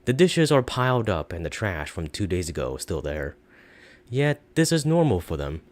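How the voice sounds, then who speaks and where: A man with a low voice narrates a story aloud. His delivery is expressive, with pitch that moves widely from phrase to phrase. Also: moderate pace.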